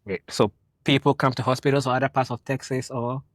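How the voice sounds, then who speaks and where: A young man from Ghana hosting a casual interview, relaxed and rambling, laughing often. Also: medium pitch, brisk, dry recording, clean studio sound. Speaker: podcast host.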